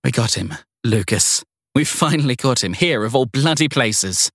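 Male narrator as bold woman detective, pointed, defiant, husky, crime audiobook. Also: medium pitch, animated, moderate pace, a bit bright, dry recording, studio quality, voice pushed up. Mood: relieved. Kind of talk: audiobook character dialogue.

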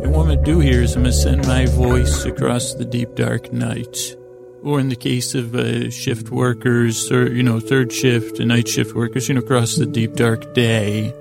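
A man hosting a sleep podcast in a rambling bedtime monologue, meandering and halting with stammers, repeats and silly digressions, in a gravelly voice. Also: mid-range voice, little pitch movement, over music.

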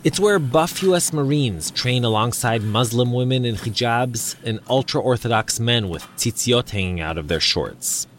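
Lively male feature narrator. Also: mid-range voice, normal speed, few pauses, slight background noise. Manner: lively storytelling delivery. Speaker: reporter. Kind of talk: scripted news feature narration.

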